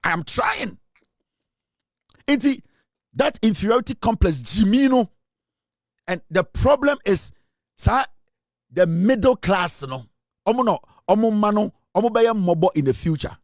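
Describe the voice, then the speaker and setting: Ghanaian guy ranting on his channel, highish voice, keeps repeating himself to hammer it in. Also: lively intonation, a little slow, pauses often, no room sound, studio quality. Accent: Ghanaian English. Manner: emphatic, repeats points for stress. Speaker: online commentator. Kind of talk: opinionated commentary monologue.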